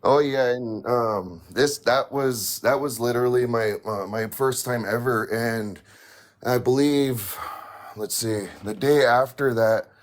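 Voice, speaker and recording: A man calling in to tell a paranormal story, halting and full of fillers, with a raspy voice. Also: mid-range voice. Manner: halting, many fillers and restarts. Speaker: caller sharing a paranormal story. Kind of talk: hesitant casual storytelling.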